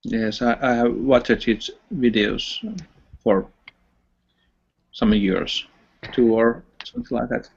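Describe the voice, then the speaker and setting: A man's voice: medium pitch, steady tone, takes it slowly, creaky, long pauses, slightly rough. A man with a Finnish accent in a casual online voice chat, speaking hesitantly with many fillers and restarts. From Finland. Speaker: chat participant. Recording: dull lo-fi online voice chat audio.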